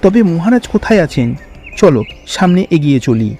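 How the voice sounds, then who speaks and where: A man's voice: on the high side, big pitch swings, normal speed, dark tone, faint music behind. A man voicing an earnest, kindly young village hero in a fairy-tale cartoon, given to exclaiming. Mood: puzzled.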